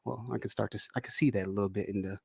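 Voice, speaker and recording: A man hosting a casual podcast interview, from Michigan, relaxed and chatty with long asides. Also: on the low side, racing, creaky, slightly rough, no echo, clean studio sound. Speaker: podcast host.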